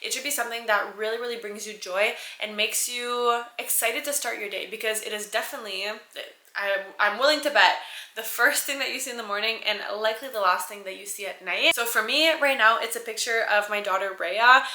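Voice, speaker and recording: An upbeat woman vlogger from Canada, French her first language, chats excitedly to camera. Her voice sits a little high and sounds bright and crisp. The room around her gives a noticeable echo. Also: fairly quick. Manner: chatty, enthusiastic, upbeat. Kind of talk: casual chat to camera.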